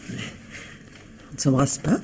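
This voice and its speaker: An actress playing a concerned, insistent wife in a French radio mystery, with a probing, intimate way of talking. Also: female voice, drawn-out words, a little mellow, long reverb tail, slight background noise. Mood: tender, hesitant.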